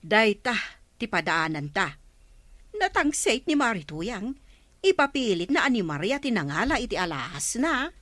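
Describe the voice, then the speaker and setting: A woman's voice: mid-range voice, sing-song, moderate pace, fairly bright tone, dry recording. A woman playing a gossipy village woman who chattily relays news, with an Ilocano accent, in a radio comedy-drama. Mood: reporting.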